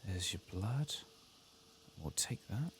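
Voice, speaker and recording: A man softly speaking and whispering ASMR game commentary, muttering to himself as he goes. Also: sits low, animated, slow. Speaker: host of an ASMR game playthrough.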